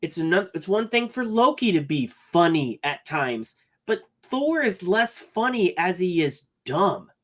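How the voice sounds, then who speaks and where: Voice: mid-range voice, very animated, unhurried, hardly any pauses. A podcast co-host giving a casual movie review, earnest and thinking aloud as they work through long lists of points.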